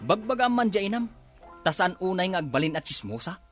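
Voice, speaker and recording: A man's voice: sits high, sing-song, fairly quick, over music. A man playing a stubborn, self-righteous husband in a radio drama, argumentative and boastful. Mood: defensive, irritated.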